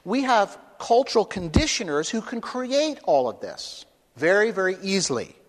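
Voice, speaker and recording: A man lectures to an audience in an emphatic, driving way, pushing his points to loud peaks. His voice sits a little high for a man. The sound rings with the long reverb of a large hall. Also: sing-song, moderate pace. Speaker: speaker at a talk. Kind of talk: public lecture with questions.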